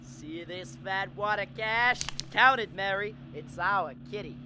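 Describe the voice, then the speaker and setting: A man's voice: sits high, unhurried, noisy background. A teenage student actor playing a stubborn, restless young small-town man in a radio drama, stammering and emphatic. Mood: elated.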